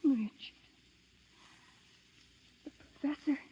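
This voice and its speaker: A woman's voice: takes it slowly, mellow, a little hiss or hum, lightly acted. An actress playing a frightened, secretive young woman spy in a 1930s radio drama, speaking with dramatic urgency. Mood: dying, gasping. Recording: dull lo-fi 1930s radio broadcast.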